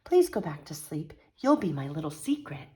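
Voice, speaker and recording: A woman's voice: low-pitched, sing-song, moderate pace, a little mellow. A woman voicing an eager, kind-hearted little girl in a Christmas storybook, earnest and sweet. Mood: gentle, apologetic.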